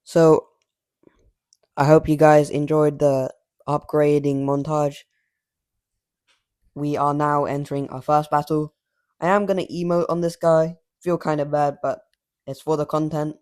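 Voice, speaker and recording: A man giving casual, rambling commentary over his mobile gameplay, cocky and trash-talking, his voice slightly raspy from filming late at night. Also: on the high side, level tone, a little slow, long pauses, a little mellow.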